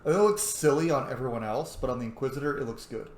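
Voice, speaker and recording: A man's voice: medium pitch, quick pace. A man giving casual let's-play commentary as a game streamer, muttering his choices to himself as he goes. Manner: thinks aloud, mutters choices to self. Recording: roomy echo, faint background noise.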